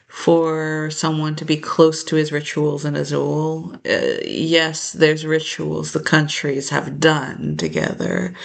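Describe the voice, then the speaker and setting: A vlogger rambling to camera in a gravelly, fry-laced voice that sits at a middle pitch. The talk drifts from one idea to the next and often trails off mid-thought. It sounds loose and unscripted. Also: fairly bright tone. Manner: rambling, jumps between topics, trails off. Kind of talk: casual rambling monologue to camera.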